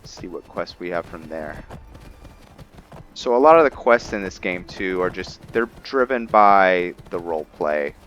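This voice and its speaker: A man giving casual commentary on his roleplay game, thinking aloud in a halting way. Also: low-pitched, expressive, fairly bright tone, dull audio, slight background noise. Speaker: gamer narrating a roleplay playthrough.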